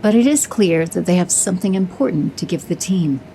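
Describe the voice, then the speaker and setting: A woman giving measured, scripted narration for an audio drama. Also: on the low side, lively intonation, creaky, mellow, slight background noise, rough recording. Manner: measured storytelling.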